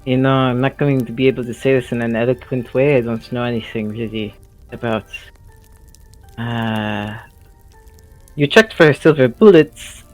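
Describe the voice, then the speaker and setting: A man's voice: mid-range voice, normal speed, pauses often, faint music behind, close to an everyday voice, low-quality recording. A man playing an awkward, well-meaning adventurer in a tabletop audio drama, stammering and wry. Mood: awkward concern.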